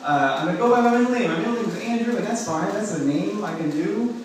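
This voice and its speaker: Man, stand-up comic, rambling self-mocking delivery, slightly high voice, echoey distant club recording with noise. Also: lively intonation, fast. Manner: rambling, self-mocking, heavy filler words and swearing. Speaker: stand-up comedian. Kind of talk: stand-up comedy set. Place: comedy club stage.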